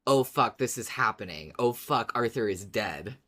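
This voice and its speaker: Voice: medium pitch, lively intonation, moderate pace, bright, forceful tone. A podcast co-host chatting casually and expressively about a favorite show, dramatizing reactions. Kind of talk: casual fan podcast chat.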